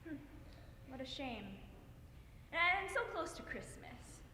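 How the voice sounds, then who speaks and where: A young woman performing a stage monologue as a tender, grieving middle-aged mother, with halting, theatrical emotion. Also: high voice, very animated, pushed and bright, full character voice. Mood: uneasy, remembering. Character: grieving mother Madeline, anguished and tender, reliving loss. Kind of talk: dramatic interpretation monologue on stage. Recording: echoey hall with noise.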